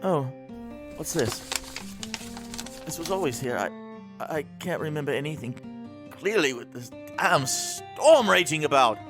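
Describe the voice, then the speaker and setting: An actor playing a tormented, grief-stricken man descending into madness in an audio drama, distraught and halting, with formal wording. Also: a little high, expressive, over music. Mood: apologetic, confused.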